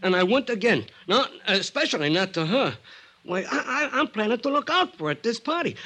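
A nervous, aging American ex-con in a vintage radio mystery. His high voice jumps around as he stammers in uneducated street slang, with a bright, tense edge. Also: sing-song, fairly quick, heavily acted. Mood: anxious, earnest. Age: older. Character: nervous aging small-time ex-con entertainer, sweet on old flame. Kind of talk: 1950s American radio drama acting.

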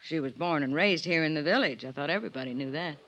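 Sharp, bitter village housekeeper. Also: female voice, low-pitched, fast, dull audio. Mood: bitter, resentful. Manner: clipped, folksy, sardonic. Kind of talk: old-time radio drama acting.

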